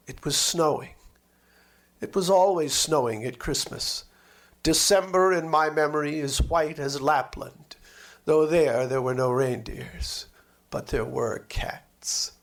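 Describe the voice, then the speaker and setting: Male narrator, slightly high voice, expressive and measured literary reading, savouring the words.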